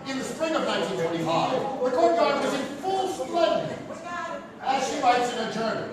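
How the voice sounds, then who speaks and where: A male narrator with a high, very animated voice and a theatrical storytelling style. He is far from the mic in a very echoey, noisy theatre. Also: moderate pace, a crowd in the background. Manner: theatrical, grandiose. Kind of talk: dramatic scripted anthology narration. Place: live theatre stage. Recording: distant, very echoey theatre with noise.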